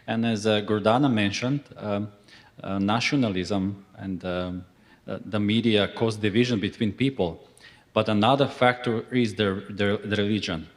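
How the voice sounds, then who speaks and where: This is a man in his forties from Macedonia, speaking English as a second language. He is hesitant, with many ums and uhs, and his voice is slightly low. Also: moderate pace. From North Macedonia. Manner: hesitant, many fillers, non-native phrasing. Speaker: interviewee. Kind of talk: formal interview testimony.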